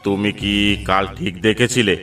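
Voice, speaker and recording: A man's voice: on the low side, steady tone, normal speed, fairly bright tone, far from the mic, faint music behind, close to an everyday voice. A man voicing a commanding village police inspector in a cartoon, giving orders with authority. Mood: watchful, commanding. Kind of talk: cartoon voice acting.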